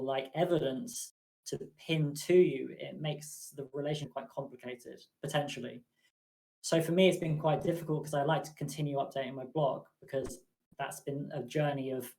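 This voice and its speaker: A man who is a psychotherapist, speaking in a measured, reflective way on a podcast. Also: medium pitch, gravelly, low-quality recording. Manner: measured, thoughtful, even-toned. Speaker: psychotherapist and poker player.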